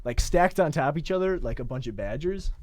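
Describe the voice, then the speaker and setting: A man playing a boastful immigrant telling rambling drunken stories on a comedic college radio bit, halting with many "uh"s. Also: fairly high pitch, expressive, darkish tone, dry recording, natural voice. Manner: halting, rambling delivery full of "uh"s.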